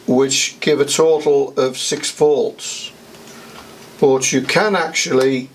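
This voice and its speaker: A man's voice: on the high side, vocal fry, slight background noise. A man giving a casual how-to demonstration, explaining each step in a matter-of-fact way. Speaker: DIY demonstrator.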